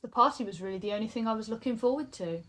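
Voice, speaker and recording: A woman's voice: on the high side, racing, a bit bright. A woman acting in an audio drama as a bored, sceptical young woman with a dry, dismissive, sarcastic manner. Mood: sullen, dry.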